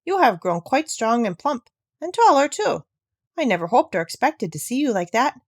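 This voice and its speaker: A woman's voice: fairly high pitch, sing-song, normal speed, no room sound, clean studio sound. A woman voicing an affectionate, well-bred elderly grandmother in a storybook, speaking in emphatic exclamations. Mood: astonished delight.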